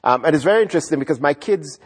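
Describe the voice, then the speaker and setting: A writer who grew up in Ghana, answering interview questions in a reflective, unhurried way, often repeating words as he thinks. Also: on the high side, animated, breathy edge. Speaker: writer and guest.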